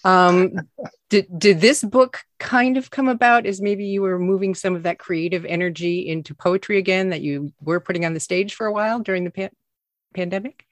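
Lower-voiced woman podcast co-host. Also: moderate pace, no room sound. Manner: scripted welcome, then chatty questions. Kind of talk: podcast hosting.

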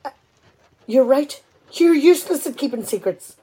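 A woman's voice: sits high, lively intonation, normal speed, warm tone. An amateur actress, a woman, playing a practical, firm wife in a conversational domestic radio play.

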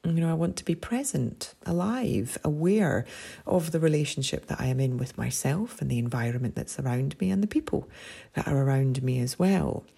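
A middle-aged Scottish woman hosting a podcast, talking in an earnest, rambling way with many fillers, her voice deepened and husky after a late night. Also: animated, normal speed, dark tone. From Scotland. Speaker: podcast host and somatic coach. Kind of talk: reflective solo podcast talk.

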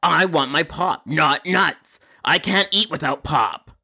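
A man voicing a grumpy, impatient food delivery customer, curt and insistent, with a raspy, strained voice. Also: fairly high pitch, slow, bright, forceful tone, pitched above the performer's own voice, exaggerated voice. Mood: irritated.

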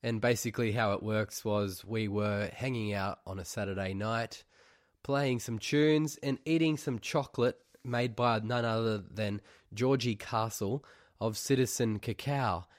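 A man's voice: on the low side, no echo, clean studio sound. A man hosting a podcast in front of a live audience, chatting casually and cracking teasing jokes. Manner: joking, quick teasing interjections. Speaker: podcast host. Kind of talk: casual interview chat.

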